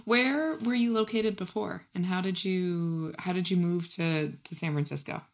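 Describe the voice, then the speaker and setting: A woman's voice: fairly low pitch, normal speed. A woman hosting a casual interview, easygoing and chatty, often saying "like" and "right?". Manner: relaxed, frequent "like" and "right?". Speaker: interview host.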